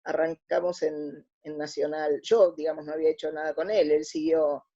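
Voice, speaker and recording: Argentine woman being interviewed, mellow husky voice, thinks as she talks, kinda muffled audio. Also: sits low, level tone, moderate pace. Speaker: interview guest, radio drama scriptwriter. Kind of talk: casual interview conversation.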